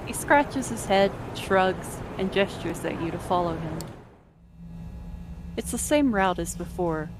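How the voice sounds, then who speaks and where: A woman's voice: on the low side, expressive, a little slow, noisy background. A woman narrating a visual novel as a scripted story.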